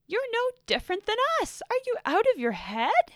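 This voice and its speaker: A tiny, brave little creature with a kind heart, talking earnestly in a high, bright voice that rises and falls, as a woman reads him in a storybook. The sound is close and dry. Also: big pitch swings, measured pace, dry recording, exaggerated voice. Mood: indignant.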